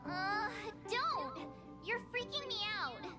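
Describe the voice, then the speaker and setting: A woman playing a bubbly, excitable teenage girl traveler in a video game. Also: very high voice, slow pace, over loud music, heavily acted. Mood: flustered. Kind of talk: video game character acting.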